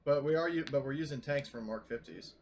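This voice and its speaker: A man's voice: mid-range voice, brisk, darkish tone. A man talking casually as a player in a tabletop roleplaying game, hesitant and questioning as he thinks aloud on a voice call. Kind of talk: casual game-session chat.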